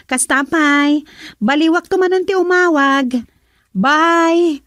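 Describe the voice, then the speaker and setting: A woman's voice: sits high, slow, fairly bright tone, dry recording, clean studio sound. A woman with an Ilocano accent playing a cheerful young daughter working abroad, in a radio drama. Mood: warm. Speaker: daughter character.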